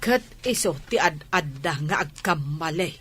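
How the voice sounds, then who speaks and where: Middle-aged village wife, acted by a woman, worried, reproachful, lowered pitch, radio play. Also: lively intonation, a little slow, a bit bright, breathy edge, heavily acted. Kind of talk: radio drama acting.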